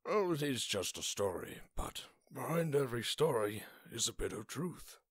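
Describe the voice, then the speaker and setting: A man voicing a kindly old grandfather, gentle, wise and breathy, in storybook style. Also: mid-range voice, sing-song, moderate pace, no room sound, clean studio sound. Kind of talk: storybook character acting.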